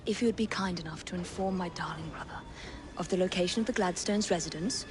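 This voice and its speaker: An actress playing a composed, sharp-tongued young English woman with a precise, formal manner in a video game cutscene. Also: fairly low pitch, fast, lightly acted. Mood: polite, arch. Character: prim, composed, sharp-tongued young female spy, disapproving sister.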